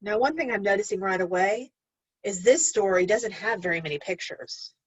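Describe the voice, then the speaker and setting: A woman's voice: medium pitch, normal speed, slightly rough, no echo, highs cut off. A woman teacher reading a storybook aloud to young learners, speaking expressively and instructively and addressing the children directly.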